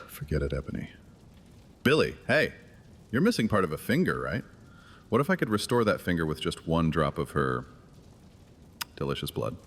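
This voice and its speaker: An actor playing a sly, sardonic dark-fantasy acolyte in a horror audio drama, mocking and theatrical. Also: male voice, low-pitched, very animated, moderate pace, pauses often, husky, exaggerated voice. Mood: sly tempting. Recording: long hall reverb.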